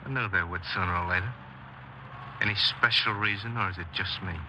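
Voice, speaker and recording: A man's voice: sits low, brisk, pushed and bright. An actor playing a cold, embittered young man confessing his crimes in a 1950s radio crime drama, speaking tersely and guardedly. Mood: cold, curt. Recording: noisy, muffled 1950s radio broadcast.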